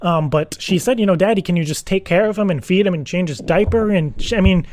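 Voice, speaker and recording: An earnest, worried dad, put on by a male prank caller, speaks in a slightly high voice with a very dry sound. He rambles haltingly and circles back over his own words as he pleads his case in an improvised phone prank. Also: a little mellow, no room sound, lightly acted. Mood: earnest, rambling. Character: earnest, anxious divorced dad seeking a teddy-bear sitter.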